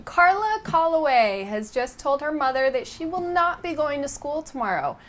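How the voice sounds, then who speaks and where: A woman's voice: high voice, very animated, normal speed. A woman co-hosting a comedy talk show, reading mock news headlines in a playful way. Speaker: co-host.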